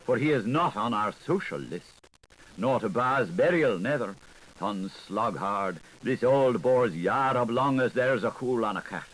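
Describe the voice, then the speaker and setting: A man's voice: mid-range voice, expressive, measured pace, fairly bright tone, rough recording. A man voicing a pompous, haughty, self-righteous male ant in an audiobook fable, declaiming solemnly. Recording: 1980s audiobook recording with audible background noise.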